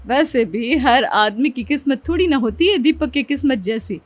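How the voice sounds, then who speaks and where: A woman's voice: sits high, animated, normal speed, dry recording. A woman voicing a gossipy village neighbour in a cartoon story, gushing and teasing. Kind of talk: Hindi cartoon story voice acting.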